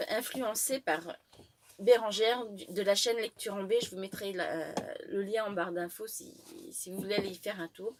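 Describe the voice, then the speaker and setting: A woman with a head cold, chatting through a book haul, hesitant and full of fillers and restarts. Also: mid-range voice. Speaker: book vlogger.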